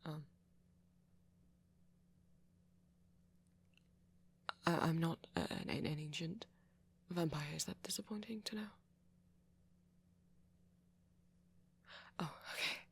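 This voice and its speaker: A very breathy, low-pitched, bashful young male vampire, acted out by a woman in an ASMR roleplay. The voice is soft and often half-whispered, with a gravelly edge. The delivery is hesitant and self-conscious, full of anxious stammers and apologetic turns. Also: fairly quick, heavily acted. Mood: awkward, self-conscious. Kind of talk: ASMR roleplay monologue to a listener.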